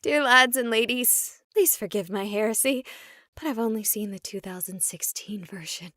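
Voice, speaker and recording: A woman's voice: mid-range voice, normal speed, fairly bright tone, no room sound, clean studio sound, natural voice. An actress playing a sarcastic, chatty young woman streamer who talks to her viewers. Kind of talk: voiced game dialogue.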